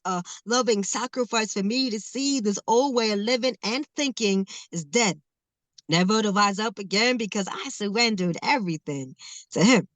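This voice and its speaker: A woman around forty delivers spoken word in a rhythmic, emphatic cadence, leaning into each line. Her voice sits at an ordinary middle pitch. The recording is dry and close, with little room sound. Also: fairly bright tone, dry recording. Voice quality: says she stutters, not heard. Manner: rhythmic, emphatic poetry delivery with laughs between phrases. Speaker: guest, spoken word poet. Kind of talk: performed spoken word poem, then casual interview talk.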